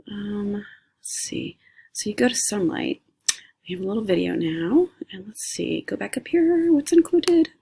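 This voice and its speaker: A woman's voice: lowish voice, creaky, a little mellow, breathy edge. A middle-aged woman giving a casual, unscripted review in a chatty, rambling way, as if thinking aloud. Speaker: homeschooling mother reviewing curriculum. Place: indoors, recording a screencast at a computer.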